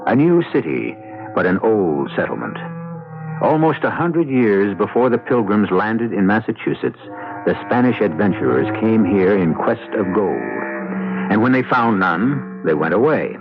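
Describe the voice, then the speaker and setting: Low-voiced, theatrical male radio mystery host. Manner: measured, theatrical. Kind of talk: dramatic scripted radio narration. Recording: muffled vintage radio, music behind.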